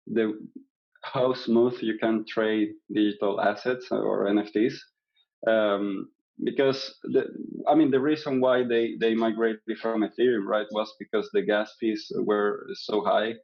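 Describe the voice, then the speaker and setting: A man from Venezuela, a guest in a casual interview, talking in a hesitant way with many fillers and restarts. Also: fairly low pitch. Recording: dull lo-fi sound.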